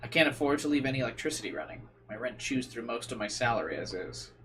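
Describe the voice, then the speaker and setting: A man's voice: mid-range voice, very animated, quick pace, a bit bright, a little hiss or hum. A man reading a visual novel aloud as a streamer, shifting between dramatic narration and slangy, playful asides. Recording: music playing behind the voice. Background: guitar game music.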